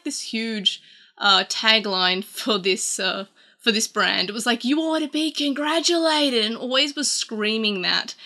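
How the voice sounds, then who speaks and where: A woman's voice: a little high, sharp tone. A young adult Australian woman hosting a casual video chat about old ads, rambling and chatty with lots of fillers, at her desk in a small room. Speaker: YouTube host.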